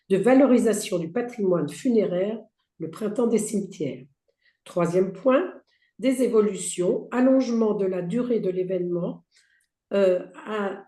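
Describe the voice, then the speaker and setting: A woman with a hoarse, strained voice walks an audience through a slide presentation, listing points and stumbling into restarts. Her tone is dark and mellow, and the sound bounces around a roomy space. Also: mid-range voice. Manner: reads out slide points with frequent fillers and restarts. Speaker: presenter for a heritage federation. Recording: roomy echo.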